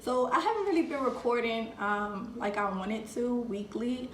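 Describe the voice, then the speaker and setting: A woman's voice: a little high, animated, moderate pace. Chatty woman vlogger in an echoey room. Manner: chatty, addresses viewers as you guys. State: getting over a cold. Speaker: vlogger host. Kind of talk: casual unboxing review.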